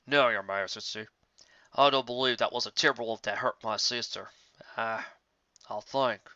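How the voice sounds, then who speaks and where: Guy playing folksy worried big brother pony, bright clear voice, polite, kinda muffled dry recording. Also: medium pitch, moderate pace, no room sound, close to an everyday voice. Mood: worried, respectful. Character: earnest, worried country stallion, protective older brother.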